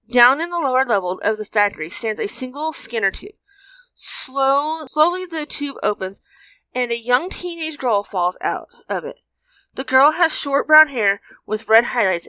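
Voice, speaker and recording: A woman's voice: a little high, pushed and bright, no room sound, poor audio. A woman narrating a scripted adventure story as its storyteller.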